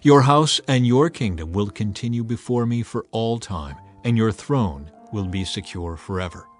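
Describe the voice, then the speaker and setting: A man voicing a solemn, authoritative God who delivers promises in a measured, declamatory way, as in a dramatized scripture reading. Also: lowish voice, animated, faint music behind, lightly acted.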